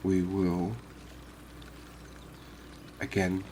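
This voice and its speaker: A man giving unhurried, murmured ASMR commentary as he hosts a fishing game series. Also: low voice, monotone, mellow, a little hiss or hum. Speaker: host of a gaming series.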